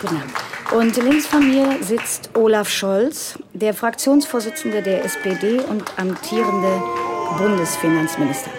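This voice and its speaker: A woman playing a polite, probing election-special presenter with a formal, measured delivery in a satirical radio play. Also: mid-range voice, over loud music, close to an everyday voice.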